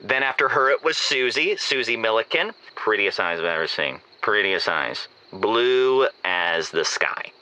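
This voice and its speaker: A man acting as a melodramatic, hopelessly romantic musical theater guy with a bright, clear voice. He leans on words and repeats them theatrically, as a caller on a comedy show. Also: fairly low pitch, expressive, a little slow, hardly any pauses, heavily acted, rough recording. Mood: lovelorn, dramatic.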